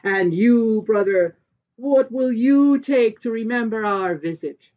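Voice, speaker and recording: A woman's voice: a little high, expressive, slow pace, dull audio. A woman voicing a generous male sky king from a folk tale, courteous, emphatic and insistent. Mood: warm, formal.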